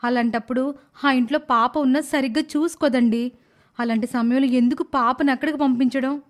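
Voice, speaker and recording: A woman playing a caring but easily exasperated young mother, earnest and expressive, in an animated moral story. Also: high-pitched, brisk, natural voice. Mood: worried.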